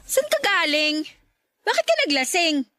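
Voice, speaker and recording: A young wife in a radio drama, worn down and exasperated. Her high voice scolds and grumbles, yet stays protective underneath. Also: big pitch swings, unhurried, bright, forceful tone, dry recording, clean studio sound, full character voice. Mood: annoyed, curt. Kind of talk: Tagalog radio drama acting.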